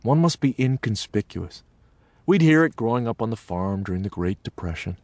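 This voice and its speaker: Expressive American man reminiscing. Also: fairly low pitch, no echo. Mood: reflective. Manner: expressive, deliberate storytelling with weighted pauses. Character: Japanese American man recalling his farm childhood, earnest, reflective. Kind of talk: audio theatre monologue, dramatic storytelling.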